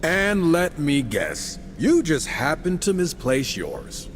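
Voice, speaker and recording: A man's voice: on the high side, huge pitch swings, slow pace, slight background noise, exaggerated voice. An actor playing a cocky, irreverent young horseman in a video game, casual, teasing and sarcastic. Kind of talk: video game cutscene acting.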